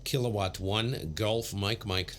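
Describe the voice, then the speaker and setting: A man's voice: steady tone, slow pace, heavy background noise. A man hosting an amateur radio livestream, talking casually in a laid-back, rambling way. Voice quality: low voice. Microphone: big studio condenser.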